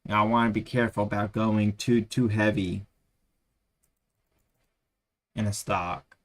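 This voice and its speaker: Tired, hoarse-sounding male streamer. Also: fairly low pitch, little pitch movement. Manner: rambling, halting, many fillers. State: tired, losing his voice. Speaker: stock trading streamer. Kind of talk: casual live-stream chat.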